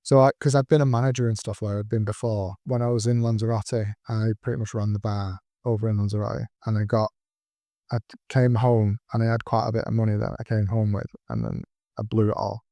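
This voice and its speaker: A man's voice: lowish voice, steady tone, a little fast, gravelly, warm tone, no echo. A man in his forties hosting a casual interview, telling rambling anecdotes with plenty of ums. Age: 40s. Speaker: podcast host.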